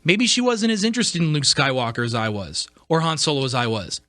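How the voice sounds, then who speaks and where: A man's voice: fairly high pitch, expressive, a little fast, fairly bright tone, dry recording. A man, perhaps middle-aged, giving a casual, opinionated podcast monologue as host, stressing his points emphatically. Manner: emphatic, repeats phrases for stress.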